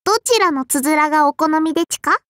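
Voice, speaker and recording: A woman's voice: high-pitched, animated, fast, a bit bright, no echo, studio quality. A woman voicing a tiny, prim and strict sparrow girl innkeeper with a childlike lisp and a cutesy verbal tic, in an anime mobile game. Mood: prim, cheerful. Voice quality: childlike, lisping. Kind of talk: Japanese anime mobile game character voice lines.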